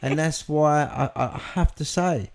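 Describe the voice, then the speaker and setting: A man's voice: a little high, unhurried, vocal fry. A man telling candid stories in a podcast chat, blunt and emphatic, swearing freely. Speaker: podcast guest. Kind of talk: candid conversational storytelling.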